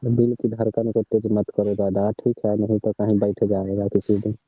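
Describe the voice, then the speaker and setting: A man's voice: on the low side, level tone, moderate pace, slightly rough, dry recording. A man playing a pushy, leering coaching teacher, needling and insisting in casual conversation. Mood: sulky, insistent. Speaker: performer in a comic prank-style phone call skit. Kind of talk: casual phone conversation skit. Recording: muffled lo-fi phone call recording.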